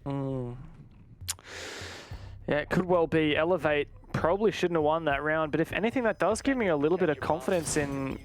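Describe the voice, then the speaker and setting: A man commentating an esports match live, play by play, in an excitable manner. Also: fairly high pitch, normal speed, no room sound. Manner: excitable, exclaiming at big plays.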